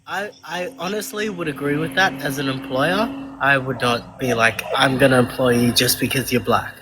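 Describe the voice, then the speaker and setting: Measured, hesitant man from Africa. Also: mid-range voice, noisy background. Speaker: debate guest. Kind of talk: live-stream debate.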